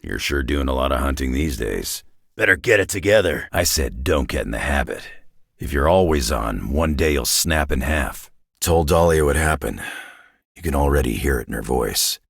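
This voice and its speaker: A man playing a gruff, world-weary, sardonic middle-aged superhero brawler in a video game, with a raspy growl and a blunt, wry drawl. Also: rumbling low, expressive, normal speed, no room sound, studio quality, heavily acted. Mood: dry, weary. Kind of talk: video game character voice lines.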